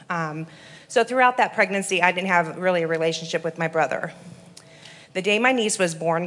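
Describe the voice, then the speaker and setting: A woman in her forties from Iowa tells her story to a church audience. She speaks earnestly and steadily and often pauses with an um. Her voice sits slightly low for a woman. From Iowa, USA. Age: 40s. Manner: earnest, steady, with frequent ums. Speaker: rehab program resident giving testimony. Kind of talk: church testimony.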